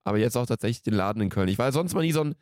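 Man, late twenties, podcast co-host, mid-pitched voice, slangy, jokey, casual banter, dry studio-like sound. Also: lively intonation, very fast, darkish tone, no echo. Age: late 20s.

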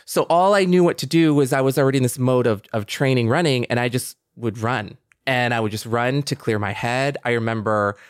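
A man's voice: mid-range voice, clean tone, no room sound, studio quality. A man co-hosting a podcast in a casual, reflective chat, talking candidly and conversationally. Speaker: podcast co-host.

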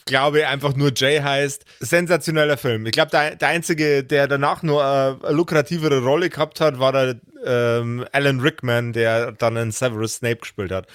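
A man in a casual podcast chat, talking hesitantly with a wry touch and drawn-out pauses. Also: medium pitch, steady tone, a bit bright, clean tone, no echo, clean studio sound. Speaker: podcast regular.

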